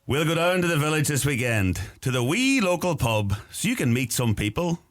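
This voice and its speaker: An actor playing a young Irish son in a radio play, eager and placating. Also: male voice, fairly high pitch, sing-song, normal speed, a bit bright. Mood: wry, eager.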